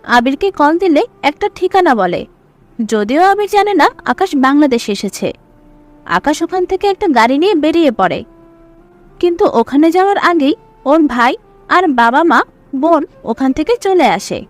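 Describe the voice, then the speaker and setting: A woman's voice: sits high, big pitch swings, moderate pace. A woman narrating a scripted audio story as its storyteller.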